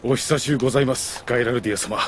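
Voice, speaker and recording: A man playing a humble, devoted retainer in an anime audio drama, earnest and deeply deferential. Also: steady tone, very fast, a little hiss or hum, close to an everyday voice. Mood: respectful joy. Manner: deferential, formal.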